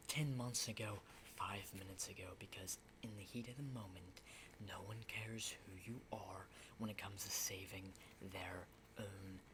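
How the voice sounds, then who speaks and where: A man playing a jittery, hardened zombie apocalypse survivor in a whispered ASMR roleplay, halting and repeating himself insistently in a breathy voice. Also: on the low side, natural voice. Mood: blunt, intense. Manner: halting, repetitive, insistent, often checking with "okay?". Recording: clearly noisy close whisper recording.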